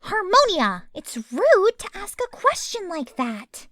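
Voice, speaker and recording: Very high, bright, prim pony. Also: female voice, huge pitch swings, slow, no echo, heavily acted. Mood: polite, cheerful. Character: female pony, prim, polite, cheerful and chatty. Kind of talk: animated audio drama acting.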